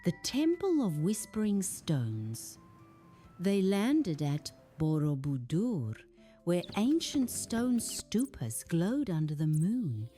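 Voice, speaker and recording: Slow, low-pitched woman telling bedtime stories. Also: very animated, warm tone, faint music behind. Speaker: children's bedtime story narrator. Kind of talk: scripted storytelling narration.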